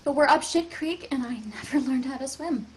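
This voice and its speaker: A woman acts out an earnest older girl in a science fiction drama, leading stranded kids. Her voice is notably high. She speaks as someone under strain, hesitant at times. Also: fairly quick, a little hiss or hum, close to an everyday voice. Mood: firm, pleading. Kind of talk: table read of a science fiction script.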